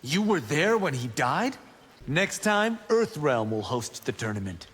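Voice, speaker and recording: A man's voice: on the high side, very animated, a little slow, a bit bright, breathy edge, big-room sound, full character voice. A man playing a cocky, wisecracking young monk fighter in a video game, confident and bantering. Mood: teasing. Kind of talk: video game character acting.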